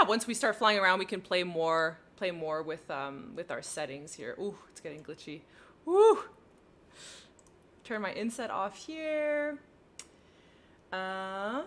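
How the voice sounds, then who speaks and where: A woman's voice: medium pitch, pushed and bright. A woman with a French Canadian accent hosting a flight sim livestream, chatting casually, giggling often and talking through her cockpit steps. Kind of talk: casual livestream chat while flying.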